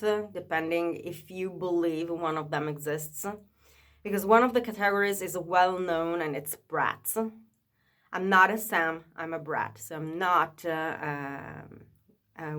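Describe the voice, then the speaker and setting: A woman, chatting casually to a camera held up high, with many fillers and restarts. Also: fairly bright tone. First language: Italian. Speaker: vlogger.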